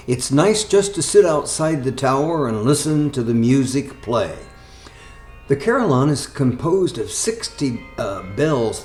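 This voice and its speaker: A man narrating a travelogue as its host, in an informal, halting, folksy way. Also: medium pitch, a little mellow.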